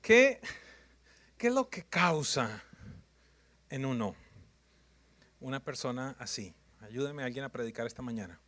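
A man's voice: medium pitch, lively intonation, unhurried, long pauses, fairly bright tone. A man preaching a sermon in numbered points, emphatic and repetitive, often putting questions to his congregation.

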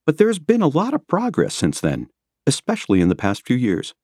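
A man reading nonfiction as a scripted audiobook narration, with measured, rhetorical and emphatic phrasing. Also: a little high, huge pitch swings, darkish tone, no room sound, clean studio sound. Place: close, dry studio recording.

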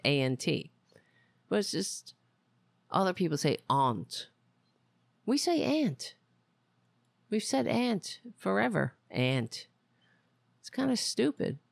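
A talk show host giving casual, rambling political commentary in a wry, self-mocking, exasperated way, often halting and drifting off on tangents. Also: medium pitch, creaky, dry recording.

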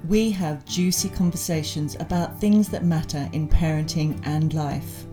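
A woman's voice: low-pitched, fairly even intonation, a little slow, music in the background. A woman co-hosting a parenting podcast, chatting cheerfully and laughing often. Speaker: podcast co-host. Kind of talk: casual chat.

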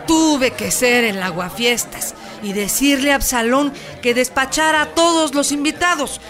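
A folksy Mexican village midwife in a radio soap opera. Her voice sits fairly high, and she tells things in colloquial, animated storytelling fashion, with clear music in the recording. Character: Doña Luz, folksy village midwife recounting an emergency.

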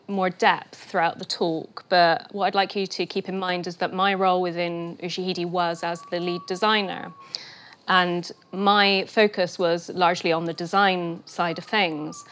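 A woman giving a lunchtime lecture, a little croaky from a cold, explaining terms slowly with fillers and restarts. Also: lowish voice. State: getting over a cold, a bit croaky. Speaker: guest lecturer. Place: lecture room.

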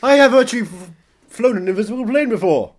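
A man's voice: extremely high pitch, expressive, fast. A man playing a gushing, theatrical, saucy British film actor in an improvised comedy podcast. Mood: boastful, playful. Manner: gushing, exclamatory, bawdy.

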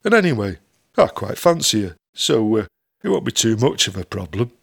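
A blunt Northern English band manager, played by a male audiobook reader, pitching ideas casually. The voice is gravelly and matter-of-fact, breezy about everything. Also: very animated, normal speed, exaggerated voice.

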